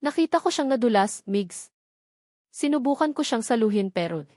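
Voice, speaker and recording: A woman's voice: on the high side, expressive, moderate pace, darkish tone, no echo, clean studio sound, pitched above the performer's own voice, natural voice. Woman voicing a haughty, scheming Filipina villainess. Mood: fake grief. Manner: haughty, theatrical. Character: scheming, arrogant young woman villain, falsely sweet.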